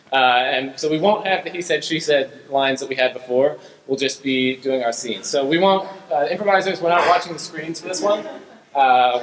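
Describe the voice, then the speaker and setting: A man's voice: fairly high pitch, a bit bright. A man casually hosting a live improv show on stage, giving informal, meandering instructions. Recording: echoey hall with audience noise.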